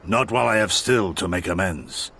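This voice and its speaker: Casting: seasoned stoic warrior for a game, gravelly, expressive range, formal and quietly fond. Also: male voice, low voice, moderate pace, full character voice. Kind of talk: scripted video game drama acting.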